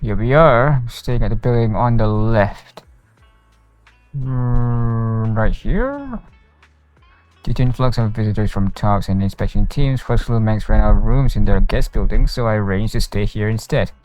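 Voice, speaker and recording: Smooth-voiced man streaming a game. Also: lowish voice, lively intonation, a little slow, darkish tone. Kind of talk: live gameplay commentary and reading dialogue aloud. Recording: game music behind. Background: game music.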